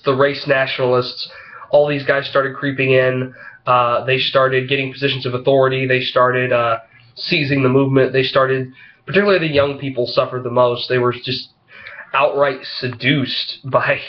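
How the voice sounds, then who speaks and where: A congested man with a head cold talks into the camera. His voice is slightly froggy, and his delivery halts and rambles with plenty of "uh"s and "you know"s. His pitch sits in the middle of the male range, and the recording sounds a little muffled. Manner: halting, rambling, heavy on fillers. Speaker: vlogger. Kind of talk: casual opinion vlog talking to camera.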